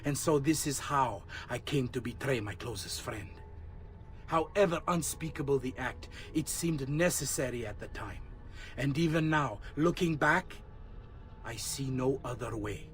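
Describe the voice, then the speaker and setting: An actor playing a remorseful traitor in a video game, confessing in a letter to his love with grave, deliberate delivery. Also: medium pitch, a bit bright, faint music behind, lightly acted. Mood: sombre, justifying. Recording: clear background ambient noise.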